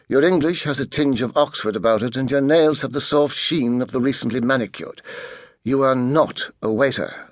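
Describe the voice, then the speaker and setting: A man voicing a pale, testy adolescent mastermind in a soft, clipped voice, speaking formally and with authority in an audiobook. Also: medium pitch, moderate pace, dry recording, clean studio sound, natural voice. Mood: haughty, testy. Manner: clipped, precise, formal speech.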